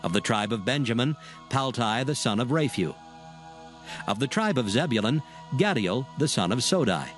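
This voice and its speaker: Measured male scripture narrator. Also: mid-range voice, very animated. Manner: measured, formal reading. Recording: music under the voice.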